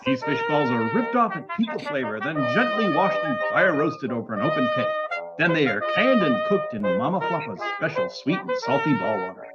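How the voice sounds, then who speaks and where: A man's voice: fairly high pitch, big pitch swings, a little fast, highs cut off. A man reading sponsor spots as an old-time radio announcer, with mock-earnest salesmanship. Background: loud music.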